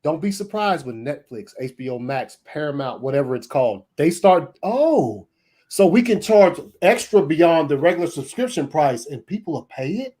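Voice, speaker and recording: A man's voice: fairly high pitch, big pitch swings, moderate pace, mellow. A man chatting casually on a streamed panel, emphatic, slangy and freely swearing.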